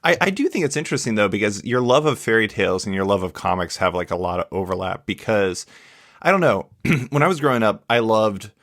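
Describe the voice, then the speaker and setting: Low, dry-sounding male podcast host. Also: big pitch swings, fairly quick, fairly bright tone, no room sound. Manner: rambling, tentative, thinking aloud. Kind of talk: casual podcast interview chat.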